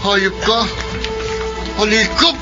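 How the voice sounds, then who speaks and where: A hot-headed young brother in a radio drama. His voice is high and urgent, under very loud music in a dull recording. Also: animated, slow pace. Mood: angry, urgent. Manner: urgent, aggressive.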